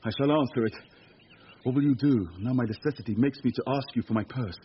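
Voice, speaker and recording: An actor playing a bold, loyal sea captain, speaking firmly and earnestly in a Shakespearean radio drama. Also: male voice, mid-range voice, fast, natural voice. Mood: grave, sorrowful. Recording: muffled radio recording, faint noise.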